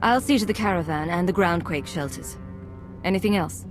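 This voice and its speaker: A woman's voice: lowish voice, brisk, music in the background, lightly acted. A woman playing a cool, decisive agent in command in a video game, controlled and authoritative. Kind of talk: video game cutscene acting.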